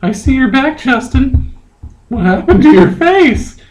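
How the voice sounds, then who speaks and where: A man's voice: very high-pitched, very animated, unhurried, darkish tone, slight background noise, voice pushed up, exaggerated voice, rough recording. A man voicing a nosy female friend in a stilted comic story reading. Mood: inquisitive. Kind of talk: mock dramatic reading.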